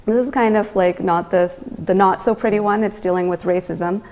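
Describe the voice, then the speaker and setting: A woman's voice: medium pitch, steady tone, a little fast, darkish tone, slight background noise. A young woman, a guest artist, chatting casually in an interview, hesitant with many fillers and restarts.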